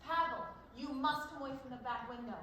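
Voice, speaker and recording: A woman's voice: high-pitched, fairly quick, bright, forceful tone. A young woman acting an anxious, commanding mother in a stage play, projecting with urgency. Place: stage in an echoing hall, live performance. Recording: echoing stage hall, faint noise.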